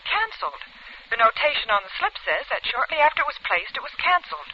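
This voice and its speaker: An actress playing a businesslike newspaper ads clerk on the telephone in an old-time radio drama, clipped and matter-of-fact. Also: female voice, a little high, sing-song, fast, a little hiss or hum. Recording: muffled, distant old radio phone call.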